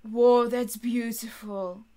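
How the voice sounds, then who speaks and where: A young adult woman giving spontaneous reaction commentary, thinking aloud in short, halting remarks. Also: on the high side, fairly even intonation, mellow, slight background noise. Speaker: anime reaction vlogger. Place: small bedroom, indoors.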